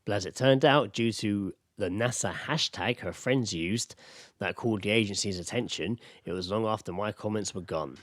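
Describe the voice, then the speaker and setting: A man's voice: lowish voice, normal speed, no echo. A British man co-hosting a podcast, chatting casually and recounting news with sweary, sarcastic jokes. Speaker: podcast co-host. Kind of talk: casual comedic chat, recounting news stories.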